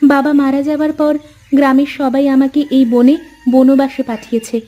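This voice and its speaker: A woman's voice: high voice, steady tone, moderate pace, mellow, dull audio, close to an everyday voice. A woman voicing a meek, sorrowful young orphan girl in a fairy-tale cartoon, speaking politely and self-effacingly.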